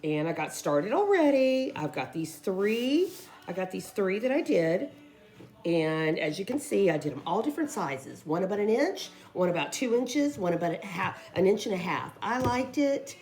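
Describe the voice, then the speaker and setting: A woman who is losing her voice, hoarsely chatting her way through a casual craft tutorial in a rambling, informal way. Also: mid-range voice, very animated, moderate pace, darkish tone, soft background music. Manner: rambling, informal, addresses viewers as guys. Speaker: craft video host.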